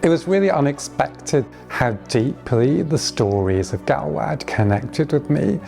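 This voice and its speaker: A man's voice: medium pitch, creaky. A man talking reflectively in a documentary interview about personal experience.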